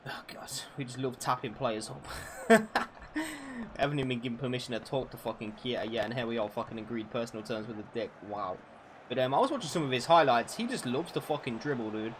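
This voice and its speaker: A man in his twenties talks casually over a football game stream, his voice sitting in an ordinary middle pitch. He chats freely with viewers and swears often as he goes. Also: fast, slight background noise. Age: 20s. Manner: chatty, swears freely, answers viewers' questions. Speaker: gaming streamer.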